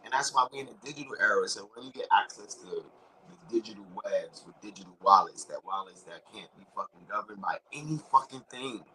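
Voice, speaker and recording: Forceful, slangy man ranting to camera. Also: lively intonation, a little fast, rough recording. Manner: profane, slangy and emphatic, repeats "bro" and "right?"; swings between loud outbursts and low whispered asides. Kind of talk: casual rambling monologue.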